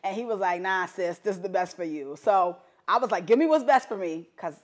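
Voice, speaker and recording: A woman, hosting a casual interview, chatty and quick to laugh with playful asides. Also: mid-range voice. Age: 30s. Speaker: podcast host.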